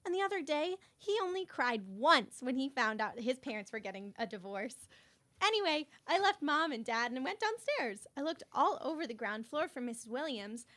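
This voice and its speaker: High, animated, bubbly schoolgirl, played by a woman. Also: normal speed. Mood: chirpy storytelling. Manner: chirpy, oblivious, earnest. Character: bubbly self-absorbed schoolgirl narrating her own story. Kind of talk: campus radio serial drama acting.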